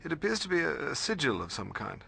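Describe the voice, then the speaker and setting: Fussy British librarian, gravelly edge. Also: male voice, on the high side, very animated, fast talker, pushed and bright, exaggerated voice. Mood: earnest, cautioning. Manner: formal, precise, hesitant when puzzled. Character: bookish, fussy male librarian mentor, middle-aged. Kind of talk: video game voice acting.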